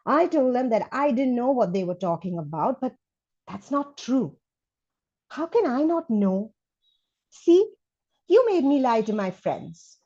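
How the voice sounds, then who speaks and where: A woman voicing a resentful, curious schoolchild's letters in an expressive, candid read-aloud from a children's storybook. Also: a little high, measured pace, long pauses, lo-fi sound. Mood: evasive, annoyed.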